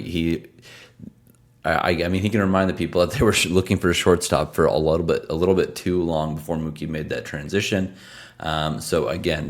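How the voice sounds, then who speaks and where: Male host, hoarse and worn out, gravelly and extremely low, chatty stat-heavy betting picks. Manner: conversational, rattles off stats. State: hoarse, losing his voice; tired from travel. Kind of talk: casual sports betting analysis.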